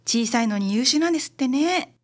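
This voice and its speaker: A woman acts out a refined mother for a radio drama. Her voice is high, and she speaks in a polite, ladylike way with calm self-assurance. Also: fairly quick. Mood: gracious.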